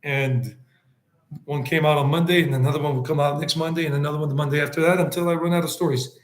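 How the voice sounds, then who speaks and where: A man's voice: a little high, steady tone, fast, echoey room. A man hosting a casual live stream, chatting in a rambling way, answering viewer comments and dropping in Spanish words. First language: English.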